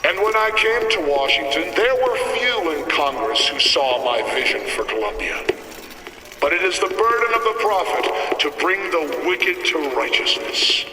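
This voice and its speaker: An actor playing a zealous elderly prophet and villainous ruler in a video game, preaching in solemn, grandiose, archaic oratory. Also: male voice, high-pitched, big pitch swings, normal speed, reverberant, big-room sound, far from the mic, noisy background. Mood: grand preaching. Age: older. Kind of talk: theatrical sermon-like oratory.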